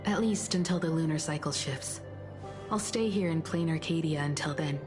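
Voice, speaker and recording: An actress playing a resolute, composed grown daughter and heroic traveller in a video game, speaking in a measured, poised storytelling manner. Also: female voice, low voice, music in the background, close to an everyday voice. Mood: gentle, composed. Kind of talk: dramatic video game voice acting.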